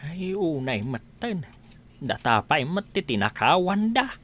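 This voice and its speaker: A woman's voice: low-pitched, sing-song, slow pace, a bit bright, heavily acted. A woman playing an elderly village father in an Ilocano comedy radio drama, concerned and scolding, with an Ilocano accent and an exclaiming manner. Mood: dismayed.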